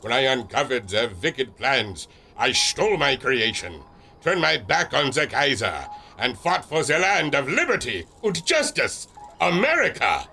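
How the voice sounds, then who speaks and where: An actor playing a huge, jovial German airship captain and inventor with a theatrical delivery and a German accent, in a pulp radio adventure. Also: male voice, big pitch swings, unhurried, vocal fry, pushed and bright, faint music behind, full character voice. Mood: grave, indignant. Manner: hearty booming laugh, theatrical delivery.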